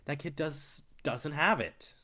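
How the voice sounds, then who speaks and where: A man's voice: a little high, slow pace, sharp tone, heavily acted. A man acting in a staged play reading as a brash, cocky film distributor, swaggering and persuasive with plenty of profanity. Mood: opinionated. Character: brash, cocky, foul-mouthed male film distributor, smooth manipulator.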